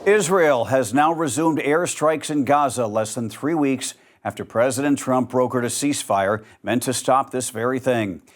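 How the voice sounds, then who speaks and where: Man, news anchor, scripted bulletin, even measured delivery, mid pitch. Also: slight background noise.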